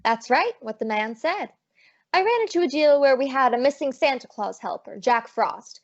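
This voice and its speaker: Woman playing a high-voiced sassy lady detective. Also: expressive, normal speed, soft background music. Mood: wry narration. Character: wisecracking, breezy female private eye, sassy. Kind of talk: old-time radio detective acting and narration. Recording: dull lo-fi audio.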